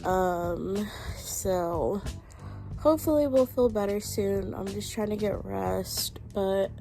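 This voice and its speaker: Slow-talking congested young woman vlogger. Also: medium pitch, creaky. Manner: rambling, filler-heavy, talking to viewers. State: congested, sick. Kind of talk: casual vlog chat. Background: loud background music.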